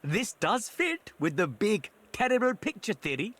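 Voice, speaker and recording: An actor playing a wry, erudite academic, lecturing and musing in video game dialogue. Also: male voice, sits high, very animated, unhurried, a bit bright, heavily acted. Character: wry, erudite male academic expounding a pet theory.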